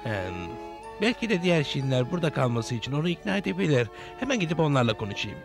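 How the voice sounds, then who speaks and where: A man's voice: on the high side, big pitch swings, fast. A man voicing a kindly old gnome village elder in a cartoon, fatherly and concerned. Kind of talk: cartoon dub acting. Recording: loud music behind the voice.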